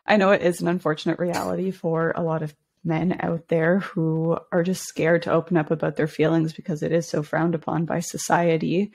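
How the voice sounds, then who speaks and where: A woman's voice: low voice, little pitch movement, fairly quick, creaky, darkish tone, husky. A young woman hosting a podcast interview over a video call, upbeat and friendly as she asks questions. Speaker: podcast host. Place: home room. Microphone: headset with video call.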